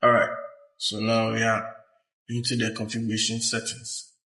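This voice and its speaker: A man presenting a hands-on technical tutorial, explaining step by step in a halting way. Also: fairly low pitch, completely flat delivery, sharp tone. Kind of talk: hands-on instructional walkthrough. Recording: roomy echo, uneven distance from mic.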